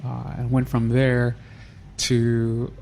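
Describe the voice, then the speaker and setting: A man guest on a podcast, talking through how he started a charity in a halting, unhurried way with frequent restarts. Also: mid-range voice, monotone, vocal fry, a little mellow, a little hiss or hum. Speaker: nonprofit founder, guest. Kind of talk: casual interview.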